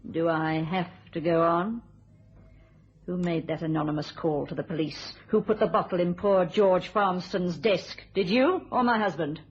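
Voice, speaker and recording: An actress playing a bitter, steely widow in a radio thriller, with a British accent and a controlled, deliberate delivery. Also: female voice, fairly low pitch, lightly acted. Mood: bitter, vengeful. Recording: dull lo-fi radio sound.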